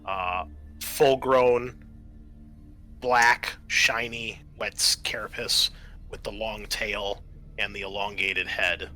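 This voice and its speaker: A man running a tabletop game as game master, describing scenes in a halting, improvised way with many pauses. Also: lowish voice, steady tone, bright, forceful tone, faint music behind.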